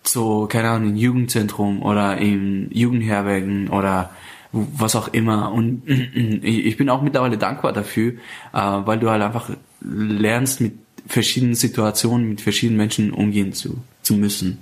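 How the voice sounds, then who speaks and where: A man in his twenties, originally from Afghanistan, a stand-up comedian chatting in an interview, pausing often with fillers and restarts. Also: lowish voice, steady tone. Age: 20s. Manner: hesitant, many fillers and restarts. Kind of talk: casual interview chat.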